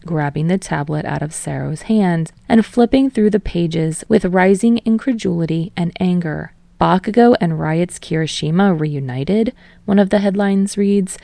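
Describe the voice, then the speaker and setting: A woman's voice: fairly low pitch, creaky, dark tone, no room sound. A woman reading a fiction story aloud as narrator, in a scripted narration style. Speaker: audiobook narrator.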